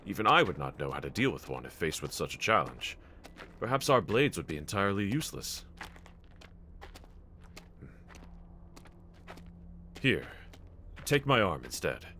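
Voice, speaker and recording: A man's voice: deep voice, sharp tone, a little hiss or hum, full character voice. A man playing a bold, earnest, reassuring demon slayer swordsman in an anime audio roleplay, speaking with formal, courteous diction. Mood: gentle, reassuring.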